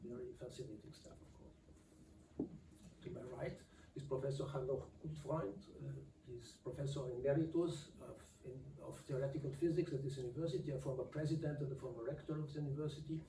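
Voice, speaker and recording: An older man, moderating a public talk in a lecture hall in a halting, pausing way. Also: mid-range voice, fairly even intonation, mellow, a little hiss or hum. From Austria. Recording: echoey hall from far off.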